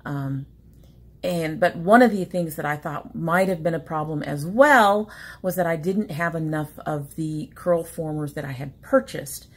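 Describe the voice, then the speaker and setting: Woman in her 40s, lowish voice, rambling casual chat, sniffly, webcam at a home desk. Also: unhurried, slightly rough. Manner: chatty, rambling, self-interrupting. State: sniffly from allergies. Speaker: beauty vlogger. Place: small room at home, indoors.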